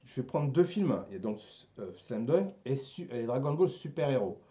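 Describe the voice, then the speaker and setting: A man hosting a casual anime talk show, chatting with co-hosts in a halting, repetitive, teasing way. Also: mid-range voice, expressive, dark tone. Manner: halting, repeats words, teasing banter. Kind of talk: casual chat among co-hosts.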